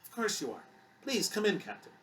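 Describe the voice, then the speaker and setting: A man voicing a kindly elderly woman, a gentle and talkative grandmother in an audiobook story. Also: sits high, big pitch swings, fairly quick, recorded from a distance, a little hiss or hum, voice pushed up. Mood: gentle, sorrowful.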